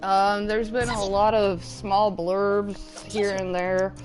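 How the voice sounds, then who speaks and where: A woman's voice: mid-range voice, level tone, takes it slowly, rough recording. A woman hosting a gaming chat in a casual, teasing way, chatting and reading out comments. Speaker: gaming show host, reading viewer chat. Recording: loud music behind the voice. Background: music.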